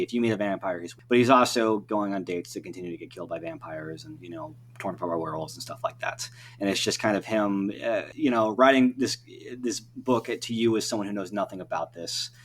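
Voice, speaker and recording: Man, low pitch, rambling podcast guest, conversational, faint background hum. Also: fast talker, few pauses. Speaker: interview guest, writer. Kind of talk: casual podcast interview.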